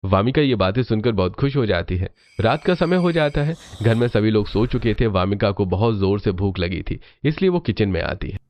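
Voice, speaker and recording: A man's voice: lowish voice, animated, moderate pace, hardly any pauses, a little mellow. A man narrating a family story from a script.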